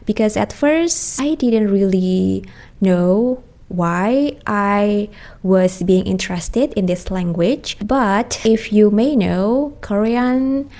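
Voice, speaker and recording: Young woman, Indonesian accent, unhurried and thoughtful, mid-pitched, speaking to camera in a small room. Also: dark tone. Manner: slow, careful, thoughtful with frequent pauses and restarts. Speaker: language learning vlogger. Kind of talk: casual talk to camera.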